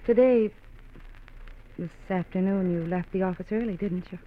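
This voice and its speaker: An actress playing a worried wife in an old radio drama, anxiously and hesitantly probing for answers. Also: female voice, medium pitch, moderate pace, lightly acted. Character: worried wife gently questioning her secretive husband. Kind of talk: 1940s-50s radio drama acting. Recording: thin phone-like old radio recording.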